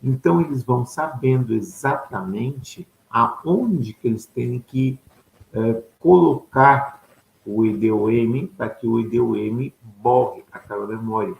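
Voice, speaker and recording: Man, lecturer, mid-pitched gravelly voice, asks and answers own questions, roomy echo. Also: unhurried, a little mellow, highs cut off. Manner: poses rhetorical questions and answers them; checks in with listeners with a tag "tá?". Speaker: ufology lecturer. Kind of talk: explanatory lecture. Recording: echoey room with faint background noise.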